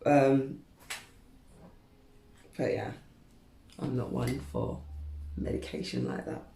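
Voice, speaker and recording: A woman's voice: very deep voice, sing-song, slow pace, gravelly, dark tone, roomy sound, slight background noise, poor audio. A woman in her thirties chatting candidly to camera while straightening her hair, rambling with lots of fillers. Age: 30s. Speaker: beauty vlogger.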